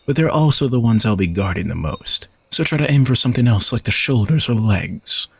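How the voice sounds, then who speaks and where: A man playing an arrogant, teasing young knight bodyguard who is gruffly dutiful, in an audio roleplay spoken to the listener. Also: medium pitch, lively intonation, brisk, dry recording. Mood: confident, teasing.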